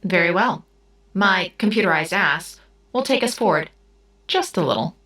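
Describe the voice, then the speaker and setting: A woman playing a gentle, solemn, all-seeing computerized being in an audio drama, speaking in a measured, cryptic way. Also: lowish voice, expressive. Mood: calm. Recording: music under the voice.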